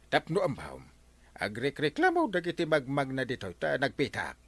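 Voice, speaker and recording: Blustering, animated Ilocano villager. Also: male voice, mid-range voice, moderate pace, no echo. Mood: complaining. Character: complaining, bossy village man fed up with roaming cattle. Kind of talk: broadcast radio comedy drama acting.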